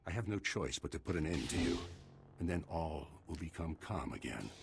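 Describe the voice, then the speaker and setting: An actor playing a grave, proud older Jedi Master in a video game, solemn, measured and raspy. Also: male voice, low-pitched, expressive, slight background noise. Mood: grim resolve.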